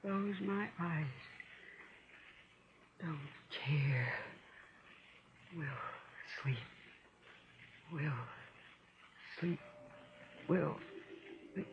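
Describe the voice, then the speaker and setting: An actress playing a guilt-ridden, murderous stepmother trapped in a nightmare, melodramatic and halting, in old-time radio horror style. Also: low-pitched, heavily acted. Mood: drowsy, hushed. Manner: melodramatic, halting fragments, from whispers to shrieks. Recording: dull, lo-fi old radio recording with noise.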